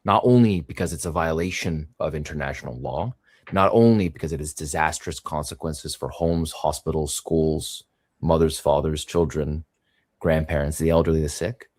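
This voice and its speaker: Male interviewee, very deep voice, earnest advocacy, clip played back. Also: moderate pace, darkish tone. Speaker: interview guest, organizer.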